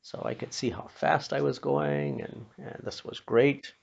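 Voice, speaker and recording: An older man with a North American accent and a gravelly voice, telling a personal story in an unhurried, plain-spoken, folksy way, as if passing on a lesson. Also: medium pitch, fairly even intonation, a little mellow. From Pennsylvania, United States. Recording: dull, muffled lo-fi recording.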